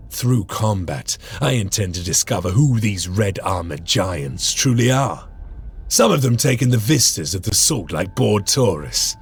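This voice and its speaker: An actor playing a grim veteran space marine sergeant, brooding and stern, with a husky voice. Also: male voice, lowish voice, heavily acted. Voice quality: gravelly, husky. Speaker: sergeant narrator. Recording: game cutscene with music.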